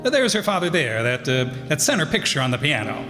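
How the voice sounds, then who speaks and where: A man as an unruffled, evasive father, highish voice. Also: sing-song, brisk. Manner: unruffled, dismissive, hesitant phrasing. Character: unworried, evasive adoptive father of a wealthy young woman. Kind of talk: old-time radio drama acting. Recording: echoey hall with music behind.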